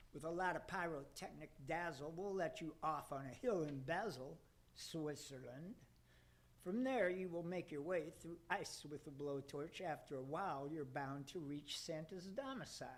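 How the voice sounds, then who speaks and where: A man's voice: on the high side, natural voice. A man acting a scheming official of the underworld who gives orders in grandiose rhyme for a radio play. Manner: grandiose, deliberate rhymed delivery. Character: scheming underworld official briefing his agent on a mission.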